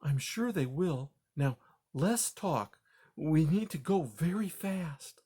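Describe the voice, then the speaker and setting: A man doing a soft old grandmother mouse. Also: fairly high pitch, animated, takes it slowly, slightly rough, pitched above the performer's own voice, full character voice. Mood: gentle. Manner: soft, gentle, matter-of-fact. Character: calm elderly grandmother mouse.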